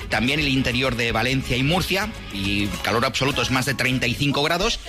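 Spanish-accented male weatherman, relaxed banter on air, clear music bed behind. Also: medium pitch, brisk. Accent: Spanish (Spain). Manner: chatty, playful diminutives. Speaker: meteorologist. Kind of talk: casual weather report on radio.

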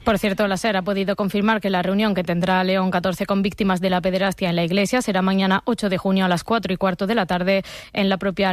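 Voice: medium pitch, dry recording. Dry, close-sounding radio news anchor. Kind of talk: scripted radio news reading.

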